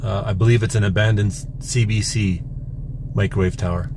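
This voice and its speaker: A man in his forties casually narrating a mountain drive and summit walk, unhurried with pauses, recorded on a handheld camera. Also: lowish voice. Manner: relaxed, unhurried commentary with pauses. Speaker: vlogger. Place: in a vehicle, then outdoors on a mountain summit. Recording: outdoor camera audio with noise.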